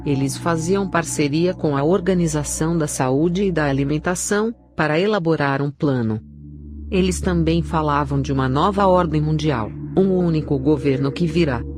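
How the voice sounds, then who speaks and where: A woman giving a scripted narration of a religious testimony in a solemn, measured way. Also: low voice, background noise. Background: background music.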